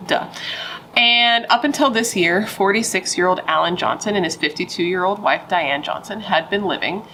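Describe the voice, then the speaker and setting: A woman's voice: mid-range voice, moderate pace, bright, forceful tone, slight background noise, low-quality recording. A young adult woman who is pregnant, telling true crime stories in a loose, playful and chatty way. She swears casually and adds dramatic asides. Her voice sits mid-range with faint room ambience behind it.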